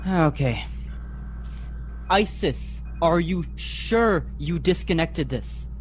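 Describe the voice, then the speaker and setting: Stoic injured boy pilot, acted by a young man, sci-fi radio play, very animated pitch swings. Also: slow pace, reverberant, big-room sound, slight background noise. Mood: focused. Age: young adult.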